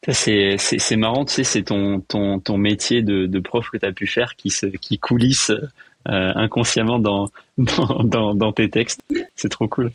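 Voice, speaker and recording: Halting low-voiced male host. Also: fairly even intonation, no echo. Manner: halting questions full of "euh". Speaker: podcast host. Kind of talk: casual conversation.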